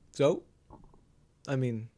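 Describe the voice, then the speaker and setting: A man's voice: medium pitch, extremely slow, warm tone, a little hiss or hum. A man hosting a roleplay talk show over game voice chat, musing and rambling with frequent laughs.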